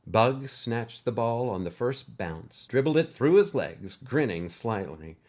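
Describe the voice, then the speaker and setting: A man narrating a scripted short story as a host, with expressive, animated delivery. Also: mid-range voice, a little slow.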